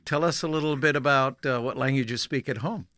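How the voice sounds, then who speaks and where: A middle-aged man who spoke German first and grew up in Canada, hosting an interview, talking haltingly with many restarts and fillers. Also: mid-range voice, a bit bright, no echo, clean studio sound. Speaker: host. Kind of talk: conversational interview.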